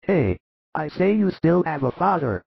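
A man voicing a warm-hearted older fisherman with a hoarse, gravelly voice and a comforting, fatherly manner. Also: fairly high pitch, sing-song, measured pace, no room sound, pitched above the performer's own voice, full character voice. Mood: resolute. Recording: dull lo-fi dry recording.

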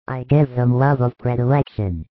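A calm holy teacher, played by a male storyteller, speaks at a medium pitch with solemn, kindly authority. He is reassuring and measured, as in a religious storybook. The sound is very dry and slightly muffled. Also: dry recording, natural voice. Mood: kindly, firm. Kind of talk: dramatized scripted religious storytelling. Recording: dull lo-fi recording.

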